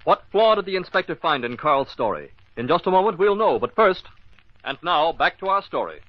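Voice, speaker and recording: A man's voice: on the high side, big pitch swings, fairly quick. A male announcer reading a scripted old-time radio mystery introduction in a dramatic manner. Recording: dull old radio recording. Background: organ music.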